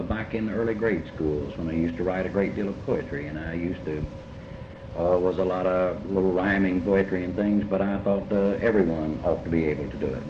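A middle-aged man from eastern Kentucky with an Appalachian accent, reminiscing in an interview in a halting, reflective way with many pauses. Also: low voice. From eastern Kentucky, United States. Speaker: writer being interviewed. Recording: muffled, noisy, roomy amateur tape.

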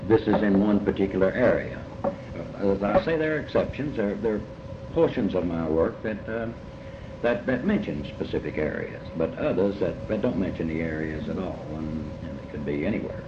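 A man with a low voice and a Kentucky accent talks as a writer being interviewed. He is deliberate and folksy, stopping and repeating himself as he thinks. The recording is muffled and echoey, with clear background noise. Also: animated. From Kentucky, United States. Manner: halting, deliberate, folksy, often repeating phrases. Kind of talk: reflective interview answers. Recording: muffled, noisy, echoey old tape.

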